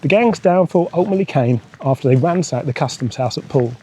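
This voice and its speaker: A man's voice: on the high side, animated, fast talker, mellow, slight background noise. A man hosting a motorcycle travel vlog, narrating local history and legends in a storytelling style.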